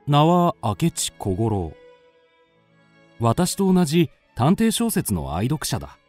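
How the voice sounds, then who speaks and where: A man's voice: fairly high pitch, wildly swooping pitch, slow, darkish tone, faint music behind, heavily acted. An actor playing a curious man in his twenties who narrates a mystery radio drama. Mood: brooding, measured.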